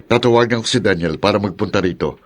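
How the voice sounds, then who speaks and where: A man's voice: on the low side, fast talker, natural voice. A man voicing an indulgent husband who eagerly gives his pregnant lover whatever she asks, in a radio drama. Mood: doting. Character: doting, easily fooled husband. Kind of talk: Filipino radio drama acting.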